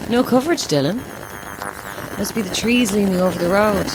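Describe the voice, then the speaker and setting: A woman's voice: mid-range voice, normal speed, close to an everyday voice, poor audio. A woman playing a flustered, self-dramatizing struggling actress who rambles theatrically to herself in an audio drama. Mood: wry, musing. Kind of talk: modern audio drama acting.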